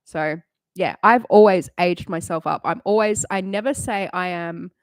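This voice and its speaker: A woman's voice: fairly low pitch, normal speed, no room sound. A woman in her late thirties chatting casually on a live stream, rambling and candid, swearing freely and laughing often. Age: late 30s.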